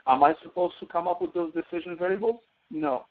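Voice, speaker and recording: A man's voice: high-pitched, very animated, brisk, vocal fry. A man giving a step-by-step tutorial lecture as an instructor, often asking questions and answering them himself. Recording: narrow phone-like audio.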